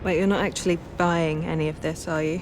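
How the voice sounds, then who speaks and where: A woman's voice: low-pitched, steady tone, brisk, background noise, close to an everyday voice. A woman playing a cautious British intelligence officer in a cinematic game, earnest and reasoning. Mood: firm, disbelieving. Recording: music behind the voice.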